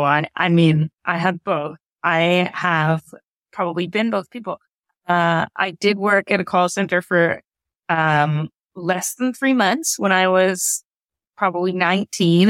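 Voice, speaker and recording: A woman's voice: fairly low pitch, a bit bright, no room sound. A woman speaking as an interview guest, telling personal stories in a casual, conversational style. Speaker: interview guest, audio drama creator.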